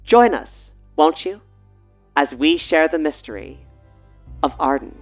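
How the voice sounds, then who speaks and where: A man's voice: on the high side, big pitch swings, measured pace. A man hosting a podcast, reading a promotional script with playful banter. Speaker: podcast host. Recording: thin phone-like sound, music behind.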